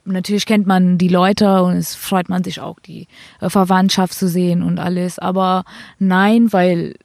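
A woman's voice: lowish voice, flat delivery, a little slow, creaky, darkish tone, no room sound, studio quality. A young woman from India with an Indian accent, a cricket player answering interview questions in simple, hesitant German full of fillers.

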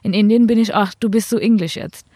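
Young indian-accented woman, halting german w lots of ums, dry close mic. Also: mid-range voice, fairly even intonation, dark tone, dry recording. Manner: hesitant, simple non-native German with fillers. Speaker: cricket player, guest. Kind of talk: casual interview answers.

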